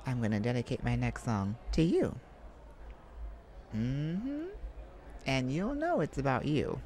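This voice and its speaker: A woman voicing a bashful, flirtatious male lounge singer in a soft, hesitant, intimate ASMR roleplay. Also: a bass voice, very animated, takes it slowly, slight background noise, exaggerated voice. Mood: flattered, flirty.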